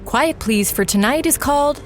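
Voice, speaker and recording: A woman announcer reads a scripted introduction to a radio drama. Her voice sits a little high, with music playing behind it. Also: expressive, moderate pace. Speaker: announcer reading drama credits.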